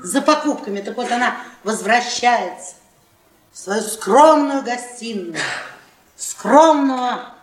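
An actress playing a loud, domineering, mocking wife in her fifties in a theatrical radio play, bossy and needling, with drawn-out emphasis. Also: fairly high pitch, lively intonation, far from the mic, a little hiss or hum. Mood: insistent, animated. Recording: roomy, echoey stage, off-mic.

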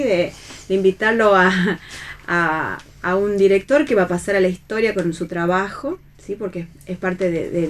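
A woman with an Argentine accent hosting a radio show in an informal, chatty way. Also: fairly low pitch. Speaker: radio host. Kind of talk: casual radio presenting and interviewing. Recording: clearly noisy radio room.

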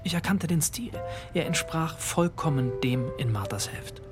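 An actor playing a fascinated grandson who narrates a radio drama in the first person, in a musing way with clipped phrases. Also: male voice, a little high, lively intonation, moderate pace, close to an everyday voice. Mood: reflective, wondering. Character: reflective grandson, fascinated male narrator of a discovery. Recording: music behind the voice. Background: music.

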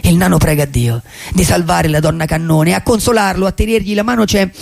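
A gravelly, husky drifter recounts his wandering life as lyrical radio theatre. His voice sits a little high and breaks into rasp. He is melancholy and theatrical, pausing for effect. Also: a little mellow, exaggerated voice. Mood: wondrous, evocative. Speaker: actor. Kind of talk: poetic radio theatre monologue.

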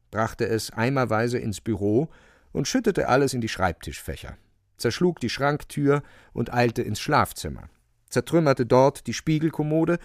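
A man's voice: mid-range voice, vocal fry, no echo, studio quality. A man narrating a literary radio drama in a dramatic, scripted storytelling style. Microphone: close, dry studio microphone.

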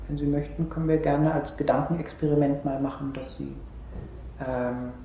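A male doctor of therapy in a radio drama. He speaks with a soft, dark-edged tone and probes in careful, halting phrases. The room rings slightly around him, over a faint layer of noise. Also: on the high side, steady tone, gravelly. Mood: probing, measured. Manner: probing, halting questions with small acknowledgements. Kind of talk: naturalistic radio drama dialogue. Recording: roomy sound with faint noise.

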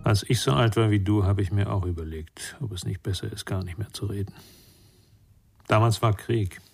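Low, husky older man, reflective. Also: normal speed, faint music behind. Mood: reflective, gentle. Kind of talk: German radio crime drama acting.

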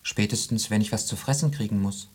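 A man's voice: lowish voice, fairly quick, slightly rough. A man playing a needy, insecure young male cat in a radio drama, plaintive and pleading. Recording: loud music underneath.

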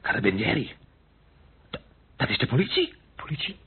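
An actor playing a frightened man woken at night by police in a radio drama, panicky, hushed and pleading. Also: mid-range voice, wildly swooping pitch, brisk. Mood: panicked. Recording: very noisy muffled old radio recording.

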